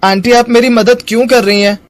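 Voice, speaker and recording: Man playing high-voiced earnest poor schoolboy. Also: fairly even intonation, brisk, no room sound. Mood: earnest, troubled. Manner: earnest, polite. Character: poor, grateful ten-year-old schoolboy. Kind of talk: animated moral story dialogue.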